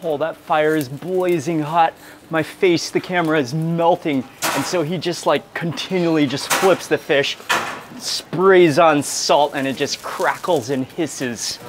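A man in his thirties excitedly narrating a seafood meal for a food vlog, savoring each bite with hums and exclamations, recorded on a small clip mic in a restaurant. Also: fairly high pitch, unhurried, background noise. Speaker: food vlogger. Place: restaurant dining room and outdoor grill. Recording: busy restaurant crowd behind. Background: restaurant crowd chatter.

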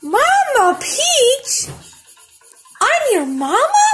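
An extremely high, dainty young princess, sweet and easily bewildered. Her slow, swooping delivery suits a video game cutscene, with music behind it from a laptop speaker. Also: wildly swooping pitch, a bit bright, full character voice.

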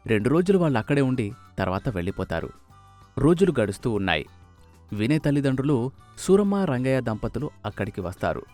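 Male narrator, scripted storytelling, medium pitch, animated melody, faint music. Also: brisk.

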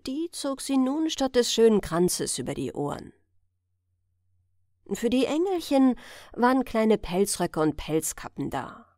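Slow, expressive woman storyteller. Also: a little high, no echo, studio quality. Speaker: audiobook narrator, storyteller. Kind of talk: scripted fairy tale narration, bedtime storybook reading.

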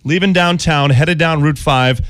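A man's voice: a little high, measured pace, a bit bright. A man hosting a radio show in a studio, bantering casually. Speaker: radio host. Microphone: studio microphone.

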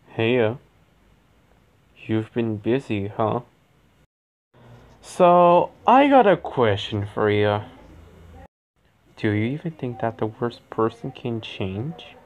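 Someone doing a lazy cartoon fox big bro, playful n theatrical, super slow drawl, pitch goes all over. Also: highs cut off. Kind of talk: character voice lines, acted.

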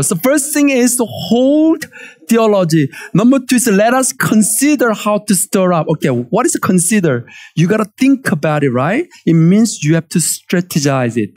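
A man in his forties with a Korean accent, preaching a lively sermon from a church stage and calling for amens. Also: high-pitched. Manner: animated, emphatic preaching with call-and-response. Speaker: pastor.

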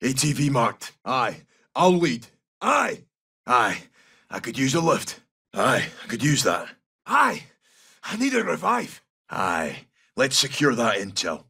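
An actor playing a gruff, brash Scottish special forces soldier in a military shooter game, giving clipped, urgent tactical callouts in a rough, gravelly voice. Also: male voice, mid-range voice, wildly swooping pitch, takes it slowly, pushed and bright, distant mic, exaggerated voice. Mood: terse, focused.

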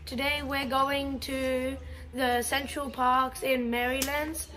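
High-voiced girl vlogging. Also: flat delivery, takes it slowly, bright, forceful tone. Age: child, about ten to twelve. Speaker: vlog host. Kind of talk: casual vlog presenting to camera. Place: outdoors in a park. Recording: noisy outdoor phone recording.

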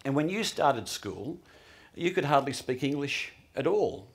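A man's voice: medium pitch, very animated, a little slow, gravelly, breathy edge. A middle-aged man hosting an on-stage interview, asking questions wryly with hesitant restarts. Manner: wry, joking, with hesitant restarts. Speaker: host interviewer. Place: large hall on stage.

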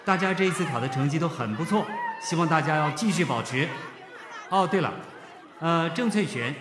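A man acting a brisk class teacher, announcing to pupils in a radio drama. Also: on the high side, lively intonation, noisy background, close to an everyday voice. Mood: businesslike. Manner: announcing to a group. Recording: echoey hall, crowd and music behind.

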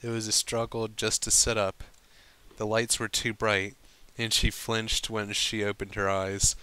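Clear, bright-voiced man reading slowly. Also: fairly low pitch, completely flat delivery, no room sound. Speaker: fan fiction reader. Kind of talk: storybook narration read aloud.

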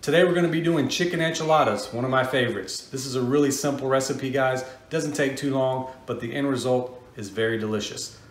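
Middle-aged American male, mid-pitched voice, casual cooking host, recorded in a reverberant home kitchen. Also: fairly quick, hardly any pauses. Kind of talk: casual how-to cooking narration. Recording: echoey kitchen room.

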